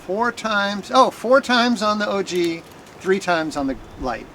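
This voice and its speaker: This is a man from Florida, a racer answering interview questions. His voice is a touch high, his tone is wry, and he corrects himself as he counts, with faint noise around him. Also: lively intonation, unhurried, sharp tone. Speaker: bikepacking racer.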